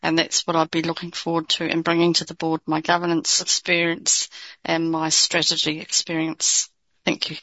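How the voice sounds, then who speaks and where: A woman, a board member seeking re-election, introducing herself in a steady, formal way. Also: low-pitched, moderate pace, dry recording, lo-fi sound. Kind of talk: spoken introduction at an online meeting.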